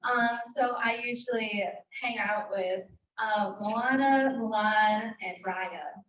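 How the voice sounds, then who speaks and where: A young woman chatting quickly about her work as a character performer, with frequent fillers. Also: mid-range voice, fairly bright tone. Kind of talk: casual chat. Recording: roomy, from a distance.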